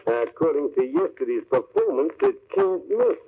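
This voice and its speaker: Furtive, highish-voiced male racetrack tout. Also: moderate pace, gravelly, husky, full character voice. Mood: sly, confidential. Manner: confidential, deadpan, clipped slangy asides. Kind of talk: old radio comedy sketch acting. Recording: muffled, faintly noisy old radio broadcast.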